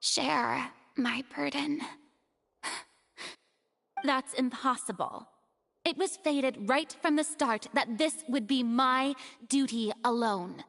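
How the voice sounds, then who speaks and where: A woman's voice: high voice, vocal fry, a bit bright, long reverb tail, a little hiss or hum, full character voice. A woman playing a proud, theatrical young female god of justice in a video game, hiding a burden. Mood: somber. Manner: theatrical, haughty, dramatic pauses.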